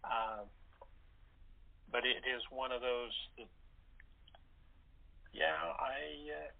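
A man of average pitch talks casually as a guest in an interview. He is halting and wry, often poking fun at himself. His voice comes through thin and narrow, as on a telephone. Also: vocal fry. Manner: halting, wry, self-deprecating. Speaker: actor and director, interview guest. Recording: narrow, tinny telephone line.

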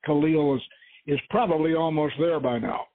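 A man voicing a shrewd, uneasy male archaeologist in an adventure story, matter-of-fact and measured. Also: on the high side, voice pushed up. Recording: muffled phone-like lo-fi audio.

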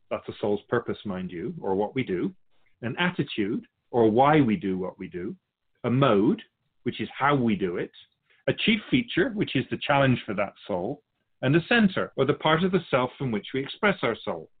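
A man playing a patient, lofty spirit guide who teaches in measured, formal phrases in a scripted audio drama. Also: mid-range voice, slightly rough, phone-quality sound. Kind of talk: scripted dialogue in a fiction podcast.

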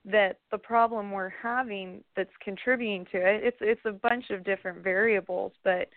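A hoarse woman calls in to a talk radio show and speaks earnestly and with passion. The line is narrow and phone-like, dry with no room sound. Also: mid-range voice, steady tone, moderate pace, no room sound. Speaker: caller. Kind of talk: call-in radio chat.

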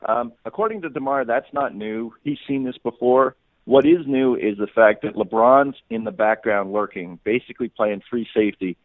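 A man's voice: medium pitch, moderate pace, dry recording, narrow, tinny audio. A man giving unscripted sports analysis as an interview guest, often repeating and rephrasing phrases as he reasons aloud. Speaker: sports reporter, podcast guest.

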